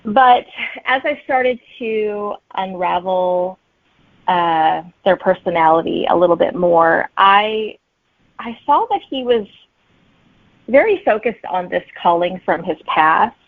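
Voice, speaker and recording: A woman with a slightly rough, husky voice talks casually as an interview guest. She sounds thin and narrow, as on a phone line, with little room echo. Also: fairly low pitch, animated, takes it slowly. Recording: thin phone-like remote connection.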